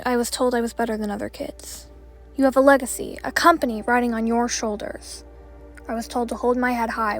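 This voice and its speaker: A woman's voice: a little high, lightly acted. A woman playing a haughty, guarded young ice fairy heiress in a voiced roleplay drama, telling her story dramatically. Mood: wistful, reserved.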